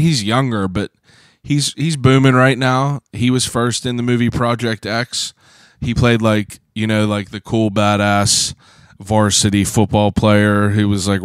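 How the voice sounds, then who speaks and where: A man in his thirties from Pittsburgh, hosting a podcast in a casual, rambling solo talk, hoarse from shouting, swearing freely and filling gaps with "uh". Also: on the low side, no room sound, studio quality. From Pittsburgh, USA. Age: 30s. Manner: rambling, profane, self-deprecating; frequent "uh" fillers and throat clearing. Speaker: podcast host.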